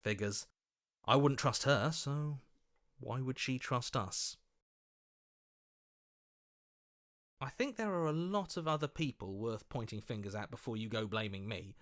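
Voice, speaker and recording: A man voicing a scheming, flattering opportunist in a video game, with an oily, ingratiating and theatrical delivery. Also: mid-range voice, normal speed, close to an everyday voice. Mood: defensive.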